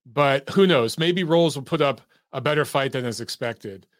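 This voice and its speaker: A man's voice: mid-range voice, moderate pace, no room sound, clean studio sound. A man from Detroit hosting a call-in sports show, talking in a chatty, emphatic way. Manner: chatty, emphatic, swears casually. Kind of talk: casual sports talk.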